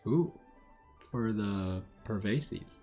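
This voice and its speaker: A man's voice: lowish voice, very slow, dark tone, faint music behind. A man doing casual video game commentary and reading on-screen text aloud, muttering asides and stumbling over words.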